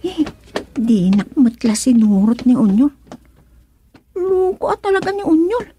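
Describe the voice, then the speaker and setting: A woman's voice: high voice, sing-song, a little slow, over music. A woman playing a gossiping schoolchild in a radio comedy drama. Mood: musing.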